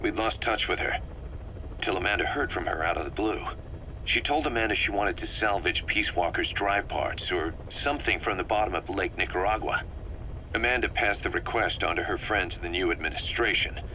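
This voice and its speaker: Sharp, intense military second-in-command. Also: male voice, low-pitched, a little fast. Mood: puzzled, briefing. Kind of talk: video game voice acting. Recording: faintly noisy cassette tape recording.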